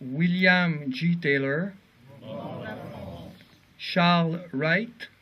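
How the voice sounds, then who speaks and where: Man, English accent, very slow, solemn name reading, slightly high voice, muffled outdoor sound. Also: slight background noise. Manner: reads names slowly and solemnly. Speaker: name reader at a memorial. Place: outdoors at a memorial.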